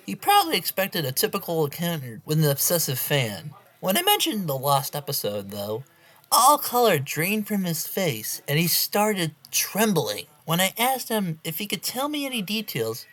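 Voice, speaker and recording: A woman's voice: low-pitched, a bit bright. A woman reading a scripted horror story aloud as its narrator.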